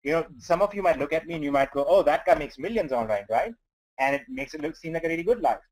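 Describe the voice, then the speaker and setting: A man's voice: fairly high pitch, expressive, fast talker, darkish tone, low-quality recording. A man from India, not a native English speaker, coaching listeners earnestly through numbers on a business webinar. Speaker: company trainer on webinar. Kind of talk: motivational webinar talk.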